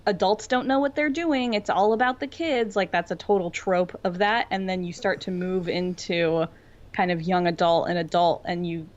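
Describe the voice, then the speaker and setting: A woman's voice: fairly low pitch, steady tone, normal speed. A woman chats casually as a podcast co-host. She rambles and circles back on her thoughts, and the audio is a little dull. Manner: rambling, tangential, filler-heavy with frequent "like". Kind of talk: casual fan discussion chat.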